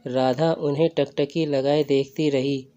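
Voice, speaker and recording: A man's voice: medium pitch, little pitch movement, measured pace, mellow. A man reading a scripted story as a narrator. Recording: dull lo-fi recording.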